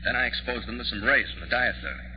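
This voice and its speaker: A man's voice: medium pitch, fast, close to an everyday voice. An American actor playing a middle-aged, grumbling, absent-minded father and amateur scientist in a vintage radio drama, fussy and preoccupied. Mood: absorbed, musing. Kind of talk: 1940s radio drama acting. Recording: dull vintage radio recording with hum.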